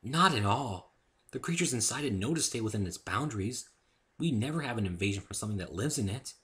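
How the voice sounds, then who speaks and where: A man voicing a shy, gentle young female winged pony, timid and hesitant, in a story reading. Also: fairly low pitch, expressive, a little fast, voice pushed up. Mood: mild regret. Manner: timid, hesitant, soft-spoken.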